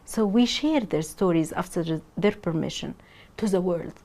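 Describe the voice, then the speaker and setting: Earnest Egyptian-born woman, mellow voice. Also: lowish voice, normal speed. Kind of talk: interview.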